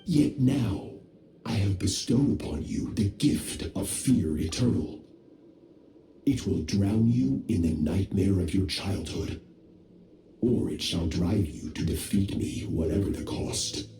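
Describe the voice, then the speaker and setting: An actor playing a cold, menacing ancient master of the abyss, speaking slowly and gravely. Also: male voice, sits low, mellow, a little hiss or hum. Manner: slow, grave, threatening delivery. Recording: echoey game audio with music.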